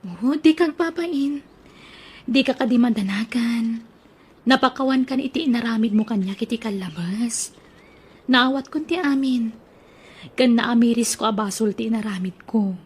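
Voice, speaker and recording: A woman acting in an Ilocano radio drama, high-voiced and gentle. She speaks in a soft, reassuring way. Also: moderate pace, long pauses, husky. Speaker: actor in audio drama.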